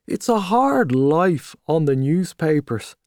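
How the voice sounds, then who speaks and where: A man voicing a boastful, hearty Irish journalist, talking loudly and expansively over drinks. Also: on the high side, sing-song, slow pace, no room sound, clean studio sound, voice pushed up, exaggerated voice. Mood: boisterous. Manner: loud, expansive, back-slapping.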